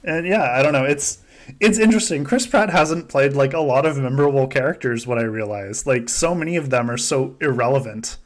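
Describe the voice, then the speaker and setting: A man's voice: medium pitch, brisk. A man hosting a casual podcast game, chatting and joking with frequent swearing and laughter. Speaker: podcast host. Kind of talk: casual chat running a simulated reality-show game.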